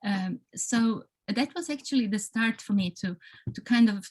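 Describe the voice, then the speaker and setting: A woman's voice: fairly high pitch, normal speed, darkish tone. A Croatian woman with a Croatian accent giving a hesitant academic talk on a video call, full of restarts and fillers. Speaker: panelist.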